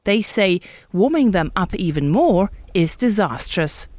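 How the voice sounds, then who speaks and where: A woman's voice: mid-range voice, sing-song, a little slow, a little mellow. A woman working as a news reporter, narrating a scripted broadcast report.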